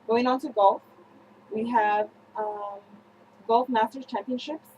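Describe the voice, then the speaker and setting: A young woman presenting a scripted student newscast, reading haltingly with slips and self-corrections. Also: on the high side, fairly even intonation, a little mellow, a little hiss or hum, poor audio. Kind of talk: scripted news-style narration for a history project.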